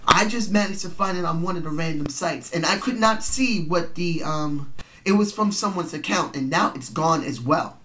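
A young man talks straight into his webcam from a cramped bedroom, his voice stuffy and congested from a cold. He sits fairly high in pitch for a man. He lays out his opinions earnestly and circles back to restate them for emphasis. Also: moderate pace, pushed and bright, a little hiss or hum. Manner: earnest, repeats points for emphasis. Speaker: vlogger. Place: small cluttered bedroom indoors. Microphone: built-in webcam mic.

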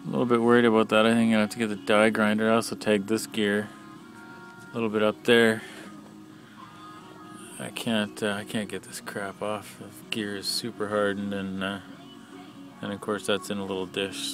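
A man talking casually off the cuff as an amateur mechanic, in a plain-spoken, halting, matter-of-fact way while filming with a handheld camera. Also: fairly low pitch, monotone, over music. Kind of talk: casual off-the-cuff how-to narration. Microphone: handheld camera, speaker behind it.

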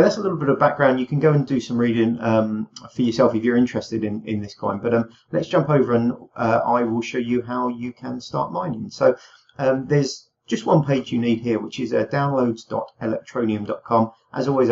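A young man, slightly hoarse from a cold, talking through a screen-share tutorial in a relaxed way with many ums and uhs. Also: mid-range voice. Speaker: crypto tutorial host. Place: small room indoors. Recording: dull lo-fi home recording.

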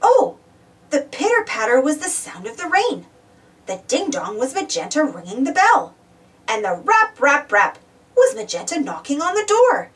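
A woman's voice: high-pitched, huge pitch swings, normal speed, distant mic. A woman reading a children's storybook aloud, exclaiming and putting questions to young listeners. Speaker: storybook reader. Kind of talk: animated children's read-aloud.

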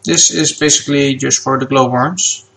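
A man whose first language is Dutch, talking through a software tutorial at his computer in a relaxed, plain step-by-step way. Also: mid-range voice, normal speed, creaky, poor audio. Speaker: game asset creator.

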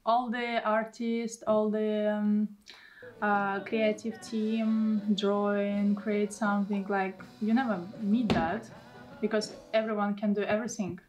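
A woman's voice: mid-range voice, little pitch movement, slow, a little mellow, recorded from a distance, muffled recording. Russian woman, a bit off-mic. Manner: simple non-native English, light laughs. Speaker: aerialist and costume maker. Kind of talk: casual interview. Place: indoor costume workshop.

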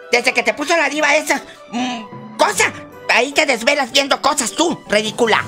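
A woman's voice: sits high, big pitch swings, a little slow, sharp tone, full character voice. A woman playing a bossy old ranch grandmother with a Mexican accent, scolding and mocking in a comedic radio soap. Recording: music behind the voice.

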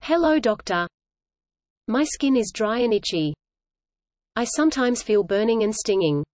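A woman reading an English lesson dialogue aloud in a measured, even way, repeating each line for learners. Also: on the high side, dry recording.

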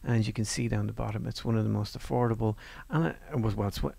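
A man giving a university course presentation over slides in a relaxed, conversational way, with fillers and restarts. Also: on the low side, fast, vocal fry, husky, dry recording. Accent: non-Northern Irish.

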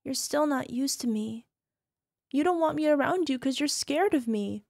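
A woman voicing a possessive young yandere wife who nurses her sick husband, sweetly coaxing with a quiet edge of menace. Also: high-pitched, animated, moderate pace, creaky, no echo, clean studio sound. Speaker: voice actress. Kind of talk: ASMR roleplay audio drama.

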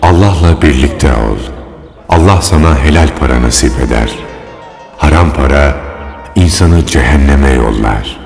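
An actor playing a revered holy man in a religious cartoon, calm, grave and kindly, speaking in a measured way. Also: very deep voice, creaky, heavily acted. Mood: solemn advice. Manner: solemn, measured. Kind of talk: dubbed religious cartoon acting. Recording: long reverb, music behind.

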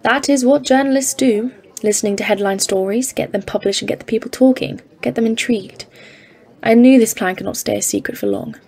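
Audio drama: actress as earnest female geologist, expedition leader, formal and measured, some vocal fry. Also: a little high, sing-song, a little mellow. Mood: friendly, composed. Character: Evelyn, earnest woman geologist leading polar expedition. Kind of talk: audio drama narration and dialogue.

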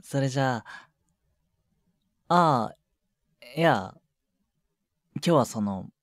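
A man playing a shy junior boyfriend in an intimate ASMR voice drama, speaking softly and hesitantly with breathy murmurs and casual politeness. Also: mid-range voice, lively intonation, takes it slowly, no room sound, clean studio sound. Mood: gentle, reluctant. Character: shy younger junior boyfriend, awkward at showing affection. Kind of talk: intimate ASMR situation voice drama, one side of a phone call. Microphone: close, dry microphone.

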